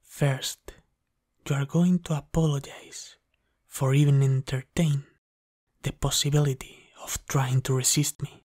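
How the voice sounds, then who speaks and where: A man's voice: mid-range voice, steady tone, measured pace, darkish tone, dry recording, clean studio sound, close to an everyday voice. A man playing a possessive, dominant vampire captor in an ASMR roleplay, speaking in a menacing, condescending half-whisper. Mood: condescending, threatening. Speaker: ASMR voice actor.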